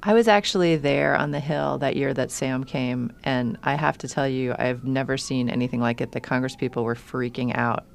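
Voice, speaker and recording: A woman with an extremely low, gravelly voice hosts a podcast. She asks her panel guests questions in an inquisitive, conversational way. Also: normal speed, hardly any pauses. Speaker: podcast host.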